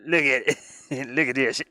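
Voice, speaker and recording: A man chatting casually like a streamer, thinking aloud in a halting, rambling way. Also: fairly high pitch, pushed and bright, dry recording. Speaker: streamer and hobby game designer. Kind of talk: casual rambling livestream chat.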